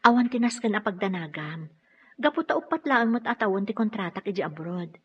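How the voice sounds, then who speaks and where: An actress playing a loving, determined young sweetheart in a Filipino radio drama, earnest and reassuring, with a Filipino accent. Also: female voice, medium pitch, lively intonation, a little fast, husky, lo-fi sound. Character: loving, determined sweetheart, a teacher leaving for abroad. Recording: distant, off-mic.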